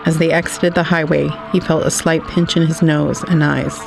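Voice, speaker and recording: A man reading scripted literary narration for an audio drama in a measured storytelling manner. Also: fairly high pitch, flat delivery, vocal fry. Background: music.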